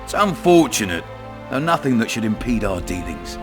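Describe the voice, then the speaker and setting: Crude bossy british commandant villain, video game, lowish voice, sly and threatening, bit of music behind. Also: male voice, sing-song, moderate pace. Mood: swaggering menace. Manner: domineering, coarse, swaggering. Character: menacing, crude, cunning human town commandant. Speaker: game character voice actor. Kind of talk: video game dramatic dialogue.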